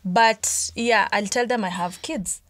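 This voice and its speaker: A woman's voice: medium pitch, slow. A young adult woman, chatting candidly and assuredly as a podcast guest, laughing often. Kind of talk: casual chat.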